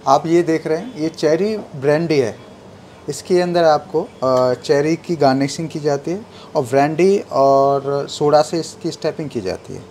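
Polite hotel staffer explaining dishes. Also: male voice, a little high, a little slow, darkish tone, slight background noise. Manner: polite, deferential. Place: hotel bar and buffet.

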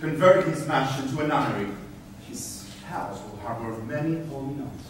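A man's voice: mid-range voice, normal speed, lightly acted. A man playing a dutiful, hard-hearted officer in a staged reading of old verse, speaking formally. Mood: formal, cold. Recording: echoey hall, distant mic, faint noise.

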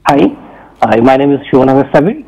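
Mellow Bangladeshi man, muffled audio. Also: mid-range voice, expressive, a little fast, breathy edge. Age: middle-aged. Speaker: technical community delegate, industry executive. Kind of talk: self-introduction at a meeting. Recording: dull, muffled remote audio.

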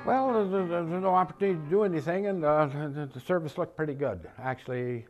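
A man's voice: on the high side, brisk, soft background music, poor audio. An elderly American man, a veteran, recollecting in an interview. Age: 70s or older.

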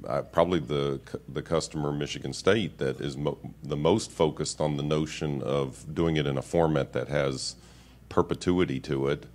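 A man speaking as a consultant on a conference panel, candid and quick, with chuckling asides. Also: rumbling low. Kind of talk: panel discussion remarks.

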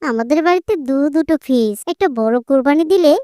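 Casting: actress as sharp-tongued cartoon wife, extremely high voice, scheming and coaxing. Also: female voice, lively intonation, quick pace, dry recording, studio quality. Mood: coaxing, teasing.

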